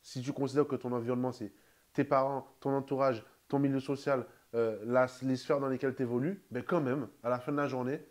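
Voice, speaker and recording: A man's voice: mid-range voice, fairly even intonation, a little fast, darkish tone. A man in casual conversation, reflective and hesitant, restarting his sentences often.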